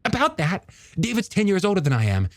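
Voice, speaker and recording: Man putting on an excitable, high-pitched young male scientist. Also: fairly quick, dry recording, exaggerated voice. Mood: teasing, friendly. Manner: excitable, stammering, chatty. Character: enthusiastic, friendly young male shark scientist. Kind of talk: audiobook character dialogue.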